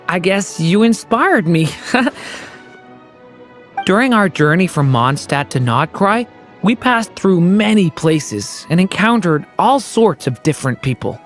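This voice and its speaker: A gentle young boy from a video game. He is sincere and earnest, his pitch moving in lively swings, with faint music behind him. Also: slow pace. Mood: earnest, admiring. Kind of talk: voiced video game dialogue.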